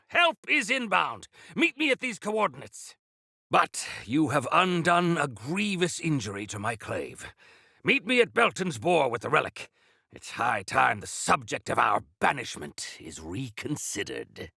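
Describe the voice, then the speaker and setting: An actor playing a proud, stalwart older leader of mountain folk in a video game, with a rough gravelly voice and theatrical, old-fashioned formal phrasing. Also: male voice, mid-range voice, pushed and bright, dry recording, studio quality, heavily acted. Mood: solemn, measured. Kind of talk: video game character acting.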